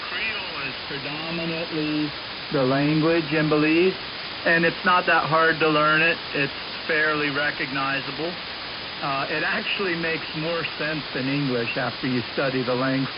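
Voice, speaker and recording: A man chatting casually as an amateur radio operator, heard over a shortwave radio link. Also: mid-range voice. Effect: shortwave radio transmission. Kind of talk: casual radio contact chat, ending turns with "over". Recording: muffled, hissy amateur radio signal.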